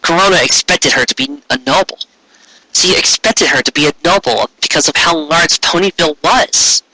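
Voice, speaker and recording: Bright, forceful male story narrator. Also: mid-range voice, no echo, poor audio. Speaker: amateur audiobook narrator. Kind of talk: scripted narration of fan fiction.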